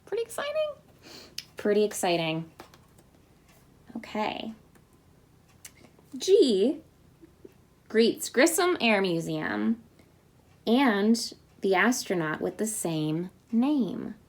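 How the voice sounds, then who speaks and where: A woman's voice: medium pitch, sing-song, slow, pauses often. A young woman from Indiana reading a children's alphabet book aloud, with cheerful chatty asides to her young listeners.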